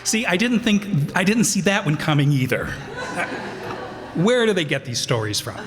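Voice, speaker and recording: High-voiced man announcing over a loud crowd. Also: very animated, normal speed. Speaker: host and cast member reading credits. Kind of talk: casual live curtain-call announcements. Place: town hall, live before an audience. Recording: echoey hall, loud crowd and noise.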